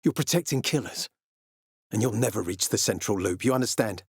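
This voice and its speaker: An actor playing a tough, suspicious peacekeeper commander in a video game, terse, sardonic and threatening. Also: male voice, on the low side, animated, a little fast, vocal fry, breathy edge, no echo, clean studio sound, heavily acted. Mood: contemptuous threat. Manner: terse, menacing, sardonic, profane. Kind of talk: video game character dialogue.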